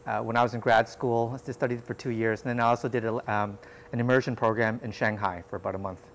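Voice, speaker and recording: Man, Cantonese native speaker, mid-pitched, measured explainer as interview guest. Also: steady tone, slight background noise. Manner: measured, explanatory, frequent "uh" fillers. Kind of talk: conversational interview answers.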